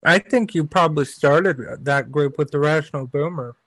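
A man's voice: a little high, normal speed, a bit bright, no room sound. A man hosting a podcast over a video call, with a stutter, swearing casually and agreeing often. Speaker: podcast host.

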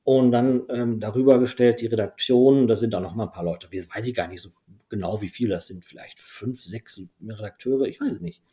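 A man's voice: medium pitch, fairly quick, mellow. A man whose first language is Dutch, a podcast guest talking casually and rambling with many fillers. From Belgium. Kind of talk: casual conversation.

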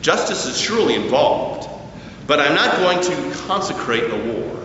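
A man preaching a sermon at a slow, deliberate pace, his voice rising and falling with emphasis. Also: on the high side, slight background noise. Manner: slow, deliberate preaching with lively rises and falls. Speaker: pastor. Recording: echoey church hall, distant mic, dull sound.

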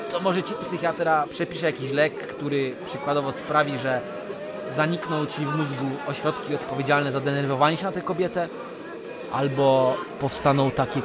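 A man's voice: mid-range voice, close to an everyday voice. A man playing a blunt, impatient young friend in a radio comedy, handing out advice in a sarcastic, colloquial way. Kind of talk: radio comedy drama acting. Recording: busy urban crowd behind the voice.

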